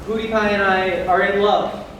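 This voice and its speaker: A man's voice: high-pitched, little pitch movement, moderate pace, natural voice. A young man playing a casual, unrepentant cheating boyfriend in a school stage comedy. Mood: defiant. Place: stage in a hall, far microphone. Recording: distant echoey stage recording, noisy.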